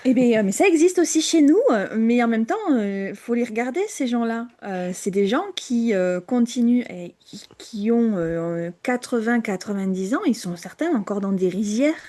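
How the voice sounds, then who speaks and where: A woman co-hosting a casual podcast chat, hesitant, with many fillers and restarts and frequent quiet drops. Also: a little high, expressive, normal speed, few pauses. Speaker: podcast co-host.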